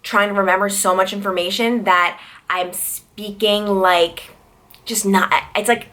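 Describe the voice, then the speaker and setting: A young woman in her twenties from Long Island, New York, chatting her way through a viewer Q&A. Her voice is bright and forward, and her pitch climbs high when she gets excited. She is loose and filler-heavy, full of "like". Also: measured pace. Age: 20s. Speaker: TV news reporter and vlogger. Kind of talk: casual Q&A vlog.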